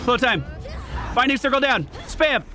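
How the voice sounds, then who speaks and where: A man doing live gaming commentary, excitable and exclamatory, swearing and rapidly repeating words. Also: extremely high pitch, music in the background. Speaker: video game streamer.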